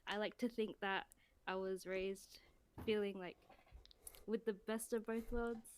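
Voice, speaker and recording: A woman's voice: mid-range voice, steady tone, a little slow, slight background noise. A young woman with an Australian accent, chatting casually on a podcast. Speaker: podcast participant. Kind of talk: casual chat.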